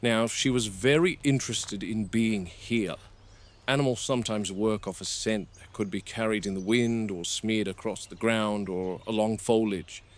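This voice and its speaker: Low-voiced, watchful game warden played by a man. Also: close to an everyday voice. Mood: curious, wary. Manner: measured, analytical, clipped observations. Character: seasoned, watchful male game warden studying animals. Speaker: game warden narrating a field log. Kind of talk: found-footage field log monologue. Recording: noisy VHS-style found-footage audio.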